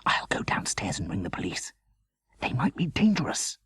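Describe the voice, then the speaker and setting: A man voicing an anxious, quick-witted mother in an audiobook, with a nervous, hushed manner. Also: deep voice, huge pitch swings, husky, no echo, in a whisper, full character voice. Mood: nervous whisper.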